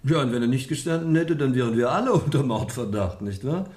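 Man as wry village doctor, medium pitch. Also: lively intonation, a little fast, darkish tone, lightly acted. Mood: wry, amused. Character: wry, skeptical mature male village doctor. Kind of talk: radio drama acting.

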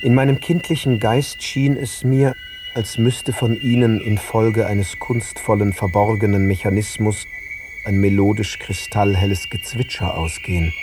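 A man narrating scripted literary prose in an audio drama, phrase by measured phrase. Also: lowish voice, level tone, background noise.

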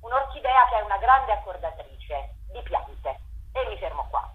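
A woman's voice: mid-range voice, very animated, normal speed, phone-quality sound, a little hiss or hum. A woman curator explaining a festival program in a radio interview, thinking aloud with frequent fillers.

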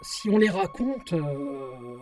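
An actor playing a hesitant, gloomy voice inside someone's head, halting constantly, in a French radio drama. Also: male voice, on the high side, very animated, over loud music. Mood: hesitant, sad. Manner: halting, hesitant, full of drawn-out hums.

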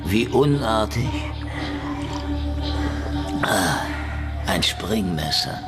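A man's voice: on the low side, big pitch swings, extremely slow, full character voice. A man playing a cocky, world-weary, middle-aged hardboiled detective in a German radio drama, sardonic and flippant. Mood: mocking, threatening. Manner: sardonic, flippant, long-winded wisecracks. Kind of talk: German radio drama acting, noir first-person narration and dialogue. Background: music.

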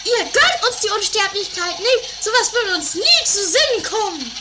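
A woman's voice: very high-pitched, animated, moderate pace, heavy background noise. A woman playing a nagging, snippy sister in a theatrical comedy radio play. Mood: conciliatory. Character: anarchic, nagging woman, the other bickering sister.

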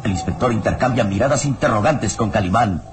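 A man's voice: lowish voice, brisk. A man narrating a radio serial in a solemn, suspenseful manner. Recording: old radio serial with music. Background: dramatic music.

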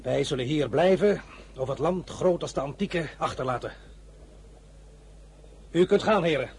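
An actor playing an imperious, volatile young general with an abrupt, commanding manner in a radio drama. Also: male voice, a little high, measured pace, darkish tone, a little hiss or hum. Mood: grave, commanding.

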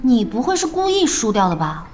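A woman's voice: fairly high pitch, big pitch swings, brisk, a little mellow, faint music behind. A woman playing a spirited, forthright young woman in a radio drama, direct and insistent. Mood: determined. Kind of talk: Chinese radio drama acting.

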